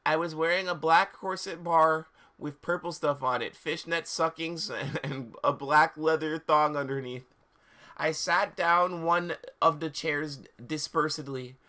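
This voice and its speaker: A man's voice: fairly high pitch, fairly even intonation, unhurried, bright, forceful tone. A man streaming a live dramatic reading of fan fiction, with mock seriousness, stumbles over words and amused asides.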